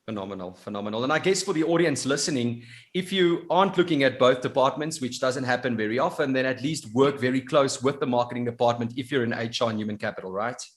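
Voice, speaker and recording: A man's voice: medium pitch, fast talker, few pauses. A man hosting a podcast interview, enthusiastic and full of praise for his guest. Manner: enthusiastic, effusive. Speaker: podcast host.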